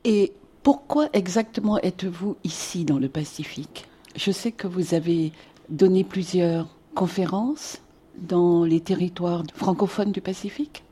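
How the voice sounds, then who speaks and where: Female radio interviewer with a dark, slightly low voice and a husky grain. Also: unhurried.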